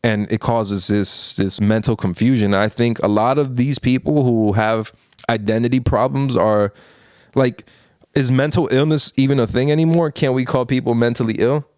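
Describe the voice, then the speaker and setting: A man's voice: mid-range voice, fairly even intonation, normal speed, darkish tone, smooth, dry recording, clean studio sound. A man in his thirties co-hosting a podcast, giving his opinions in a rambling, earnest way with many fillers and restarts. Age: 30s. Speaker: podcast co-host. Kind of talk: casual opinion chat.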